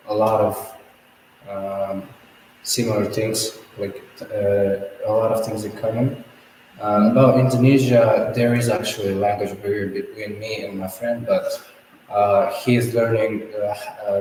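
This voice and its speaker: Flat-voiced teen boy. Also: fairly low pitch, a little hiss or hum. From Kazakhstan. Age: teens. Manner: hesitant, frequent "uh" and "like". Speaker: student. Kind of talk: spoken English exam discussion. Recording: echoey room from far off.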